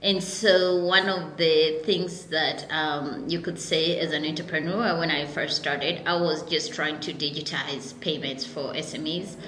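A woman's voice: deep voice, fairly even intonation, unhurried, hardly any pauses, fairly bright tone, echoey room, a little hiss or hum, poor audio. A Kenyan woman in her forties, a company founder telling her story in a casual interview, laughing often. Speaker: founder and CEO guest.

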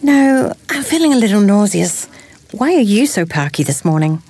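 Teasing wife, wry n casual, pitch swings a lot, natural audio drama. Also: female voice, very animated, moderate pace, darkish tone, breathy edge. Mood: sleepy, wry. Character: teasing, affectionate pregnant wife, unimpressed by science.

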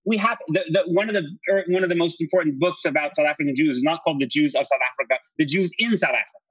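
A man's voice: on the high side, quick pace, a bit bright, breathy edge, dry recording, low-quality recording. A man speaking as an interview guest, explaining politics and history in an articulate, discursive, emphatic conversational way. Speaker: interview guest, writer and commentator.

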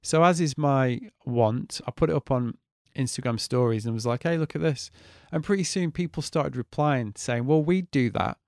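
A man hosts his podcast alone, talking in a loose, candid way and swearing often. His voice sits at a middle pitch and sounds dry and close to the mic. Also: moderate pace, few pauses, darkish tone, dry recording. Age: 40s. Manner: chatty, candid, swears freely. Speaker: podcast host. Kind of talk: casual solo podcast monologue.

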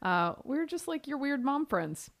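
A woman co-hosting a podcast in casual chat, a chatty, self-deprecating storyteller with a deeper register voice. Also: sing-song, measured pace, gravelly. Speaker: podcast co-host.

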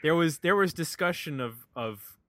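An American man hosts a casual music podcast in a bright, forward voice. His delivery is wordy and halting as he works through his points. The sound is dry and close, with almost no room. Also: on the high side, animated, no echo. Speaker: podcast host.

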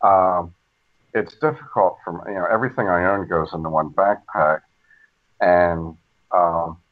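An older man with a very deep, low voice talks in a slow, measured way and restarts his sentences often. The sound is dull and lo-fi, as if the recording lost its top end. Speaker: guest. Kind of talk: casual podcast conversation. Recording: dull lo-fi audio.

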